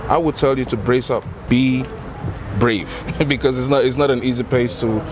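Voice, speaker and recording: A man from Cameroon, answering a street interview in an earnest, halting way that repeats and restarts words. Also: mid-range voice. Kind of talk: street interview, casual talk. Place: outdoors on a busy city street. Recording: busy street crowd behind. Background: crowd chatter and passing traffic.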